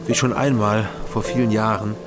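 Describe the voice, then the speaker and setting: Low-voiced brooding detective narrator played by a man. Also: fairly even intonation, natural voice. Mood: sombre, haunted. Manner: measured, sombre. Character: brooding, world-weary male detective narrating his story. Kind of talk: first-person noir radio drama narration. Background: prominent music.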